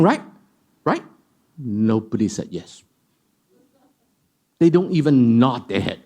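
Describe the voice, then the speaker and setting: A panel guest whose pitch leaps wildly as he tells his story. He speaks emphatically and keeps firing rhetorical questions at the room. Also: darkish tone. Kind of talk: animated storytelling in a discussion. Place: event hall.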